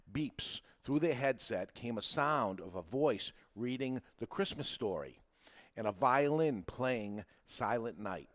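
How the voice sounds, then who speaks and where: A man hosting a podcast, teaching listeners in an emphatic way and often checking that they follow. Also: medium pitch. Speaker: podcast host and marketing coach. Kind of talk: animated instructional monologue to listeners.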